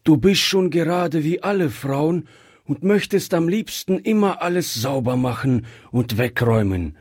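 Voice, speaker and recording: Man playing a cautious, husky old shopkeeper. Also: fairly high pitch, animated, a little mellow, dry recording, clean studio sound, pitched above the performer's own voice. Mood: hasty, protective. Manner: measured, hesitant, muttering. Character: grey-headed old male shopkeeper, cautious, guilt-ridden, gruffly kind. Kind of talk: acted storybook dialogue.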